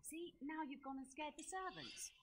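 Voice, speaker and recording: A woman's voice: sits high, lively intonation, moderate pace, heavy background noise. A woman voicing a grumpy, bossy old castle cook in a fantasy game, scolding as she talks. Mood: scolding, grumbling.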